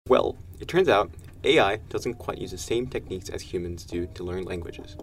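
A man's voice: sits low, moderate pace. A man reading a scripted explainer about how children learn language.

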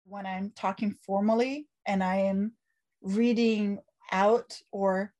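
A woman's voice: medium pitch, steady tone, slow pace, dark tone. Reflective woman professor from Italy. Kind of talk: reflective interview talk.

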